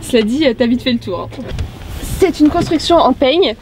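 A woman's voice: high voice, lively intonation, moderate pace, a little mellow. A young woman with an accent from France, casually telling anecdotes as a traveller being interviewed in a car park. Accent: French, from France. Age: young adult. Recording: distant mic outdoors, faint noise and voices.

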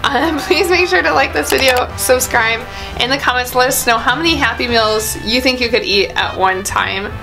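A woman's voice: on the high side, normal speed, creaky, rough recording. A woman in her thirties chatting playfully in an improvised kids' skit, exclaiming in mock disbelief. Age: 30s. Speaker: kids' channel host acting as a doctor in a skit. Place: small indoor room. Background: music.

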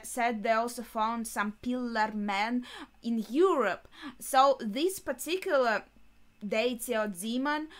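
A woman in her twenties giving casual reaction commentary, thinking aloud in a halting way in a small bedroom. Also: fairly high pitch, fairly bright tone. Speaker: reaction video host.

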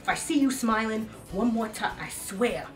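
A woman's voice: on the high side, lively intonation, normal speed, natural voice. A woman playing a mean, petty store manager, scolding and threatening in a comedy sketch. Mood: scolding, menacing. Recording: echoey room, distant mic.

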